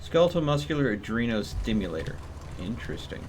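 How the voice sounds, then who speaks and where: A man's voice: mid-range voice, sing-song, brisk, darkish tone, slight background noise. A man giving casual live commentary as he streams a game, musing to himself as he goes.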